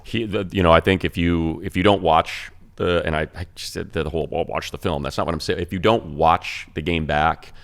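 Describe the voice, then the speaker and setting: A man's voice: low voice, fairly even intonation, brisk, few pauses. A man giving casual sports commentary in an emphatic, colloquial way.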